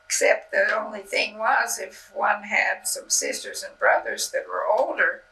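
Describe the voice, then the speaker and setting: An older man whose first language was Swiss German, reminiscing in a halting, rambling way in an oral-history interview, often trailing off mid-sentence. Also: high voice, steady tone, creaky, sharp tone, slight background noise. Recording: distant mic on old videotape.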